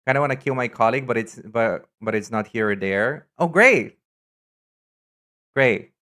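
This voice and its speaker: A man's voice: mid-range voice, normal speed. Campy, chatty male streamer from Brazil. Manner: chatty, campy and excitable, trails off into asides. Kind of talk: casual live-stream chat.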